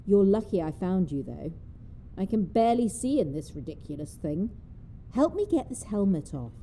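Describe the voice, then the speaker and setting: A woman's voice: medium pitch, big pitch swings, normal speed, dark tone, a little hiss or hum, lightly acted. Woman playing a muffled, feisty old lady. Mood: brisk, determined. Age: older. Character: feisty, mischievous old woman with a taste for arson. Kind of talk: audio drama acting.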